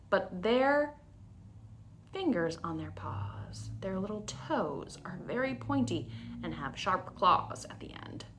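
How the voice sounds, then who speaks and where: A woman's voice: medium pitch, slight background noise. A woman hosting a story time for young children, explaining things in simple, instructional phrases.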